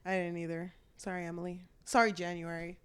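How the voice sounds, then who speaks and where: A woman's voice: on the low side, little pitch movement, brisk, a crowd in the background. A woman co-hosting a book podcast in casual conversation, joking and swearing freely. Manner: irreverent, swears freely, jokey. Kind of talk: casual book discussion chat.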